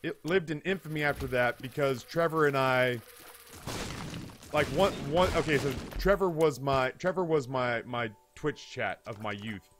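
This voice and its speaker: A man, telling a comedic story casually in an animated, hyperbolic, profane way as a streamer. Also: mid-range voice, measured pace, background noise. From United States. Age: 30s. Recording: music behind the voice. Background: background music.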